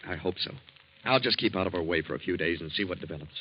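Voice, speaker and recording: A man's voice: low-pitched, close to an everyday voice. An actor playing an easygoing, penniless young man newly married, in 1940s radio acting style. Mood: worried. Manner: easygoing, quick, earnest. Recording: lo-fi old radio recording with faint hiss.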